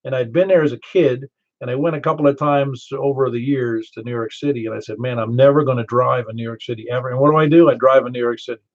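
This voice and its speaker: An older man with a Southern American accent running a ham radio net, chatty and quick to laugh as he tells stories. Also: medium pitch, fairly even intonation. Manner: chatty, storytelling, laughs easily. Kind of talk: casual radio chat. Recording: muffled ham radio audio.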